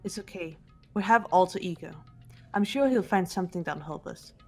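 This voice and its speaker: A woman voicing a calm, stoic and reassuring young woman in a fan-dubbed game, measured and composed. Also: on the low side, faint music behind. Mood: reassuring resolve.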